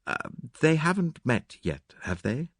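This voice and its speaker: An earnest gentleman, voiced by a male reader, loyal and easily flustered. He speaks tentatively with lively pitch, in a dry audiobook recording. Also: measured pace, breathy edge, no room sound, heavily acted. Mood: awkward. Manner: tentative, slightly awkward. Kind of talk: dramatised audiobook reading.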